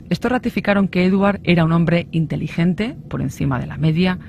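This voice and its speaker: A man's voice: on the high side, creaky, a little hiss or hum. A man from reading a scripted true-crime documentary narration.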